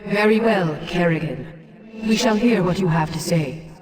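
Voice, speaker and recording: A woman's voice: fairly low pitch, unhurried, darkish tone, recorded from a distance. A woman playing a stern, regal alien matriarch in a video game, speaking formally with echo on her voice. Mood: stern authority. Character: stately, stern alien matriarch, wise elder ruler. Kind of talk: scripted video game voice acting.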